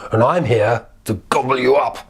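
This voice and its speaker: A man voicing a hungry, slow-witted, menacing bridge troll in a storybook tale, with slow, drawn-out speech. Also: mid-range voice, gravelly, background noise, lightly acted. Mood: threatening.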